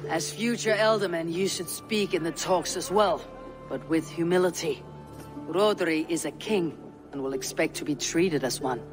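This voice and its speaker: An actor playing a steady, level-headed Viking warrior who speaks firmly. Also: male voice, fairly high pitch, normal speed, over music, natural voice. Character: steady calm male Viking warrior, young adult.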